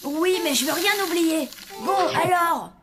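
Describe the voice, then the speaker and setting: A woman voicing an excitable, chatty little zombie boy in a French animated series, eager and naive, over music. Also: very high-pitched, unhurried. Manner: eager, boyish. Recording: loud music behind the voice.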